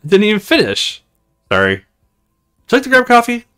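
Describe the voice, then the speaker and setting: A man's voice: sits high, huge pitch swings, normal speed, pushed and bright, clean tone, voice pushed up, full character voice. A man reading the part of an easygoing, eager young male student in a visual novel, casual and earnest. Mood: sympathetic. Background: music.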